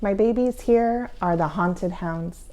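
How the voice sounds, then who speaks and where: A woman's voice: medium pitch, takes it slowly. A woman storyteller reading a spooky children's book as a theatrical hostess, dramatic and playful. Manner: dramatic, playful, hushed for suspense.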